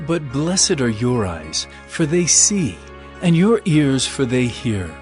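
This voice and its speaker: An actor playing an earnest male teacher of parables in a dramatized scripture reading, with measured, emphatic, declamatory phrasing. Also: mid-range voice, big pitch swings, a little mellow, soft background music. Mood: earnest, solemn.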